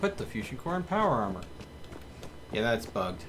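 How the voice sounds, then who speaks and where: A man's voice: mid-range voice, very animated, moderate pace, a bit bright. A man giving casual live commentary as he streams a video game. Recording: faint background noise.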